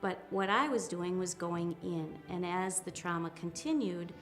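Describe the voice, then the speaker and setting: A woman in her fifties giving a reflective personal account, speaking hesitantly with soft pauses and restarts. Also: on the low side, faint music behind. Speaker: interviewee, spiritual practitioner.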